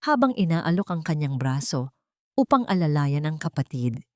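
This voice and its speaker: A woman's voice: deep voice, very animated, moderate pace, a little mellow, no echo, clean studio sound. A woman narrating a dramatic story from a script.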